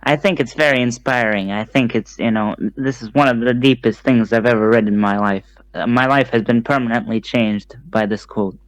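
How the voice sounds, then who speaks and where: A man playing along as a joke guest on a comedy podcast, answering ridiculous questions calmly and matter-of-factly. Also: medium pitch, normal speed, hardly any pauses, no echo, poor audio. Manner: calm, matter-of-fact about absurd claims. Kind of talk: improvised comedy chat.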